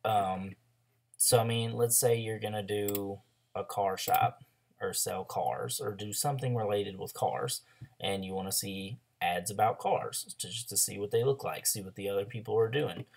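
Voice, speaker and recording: A hoarse young man with a loose, chatty manner, full of ums and likes, walking through a screen-share tutorial as an online ads coach. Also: fairly low pitch, fairly even intonation, normal speed, a little mellow. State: hoarse after a throat illness.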